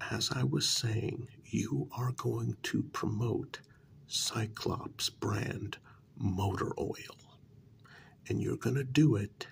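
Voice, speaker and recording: Slow, very creaky soft-spoken man, often whispering. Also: medium pitch, slight background noise. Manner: coaxing, deliberate, halting phrasing. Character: persuasive, placating talent agent to supernatural creatures. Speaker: ASMR role-play performer as a talent agent.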